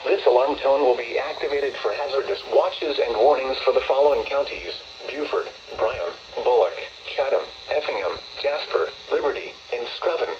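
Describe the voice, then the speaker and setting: A man's synthetic-sounding voice reading a formal public service announcement in a measured, impersonal way as a weather radio announcer. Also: mid-range voice, lo-fi sound. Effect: weather radio transmission. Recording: very noisy radio receiver reception.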